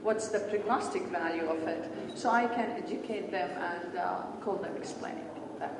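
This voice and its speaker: A woman's voice: on the low side, moderate pace. Woman lecturing in an echoey hall. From Syria. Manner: earnest, speaks in short explanatory phrases. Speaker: pathology lecturer. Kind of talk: conference lecture and Q&A. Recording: echoey hall, distant mic, background noise.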